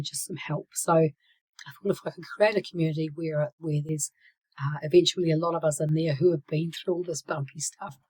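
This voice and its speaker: A woman in her sixties talking casually to her channel, hoarse and croaky from a cold, with many fillers and restarts, sometimes dropping to a whisper. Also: deep voice, a little mellow, rough recording. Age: 60s. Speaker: channel host. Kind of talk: casual vlog talk.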